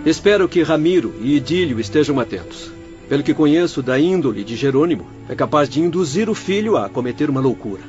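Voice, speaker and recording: A man's voice: fairly high pitch, lively intonation, fast, a little mellow, lo-fi sound, faint music behind, close to an everyday voice. A man playing a composed, learned doctor in a radio drama, explaining things formally and courteously. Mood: concerned.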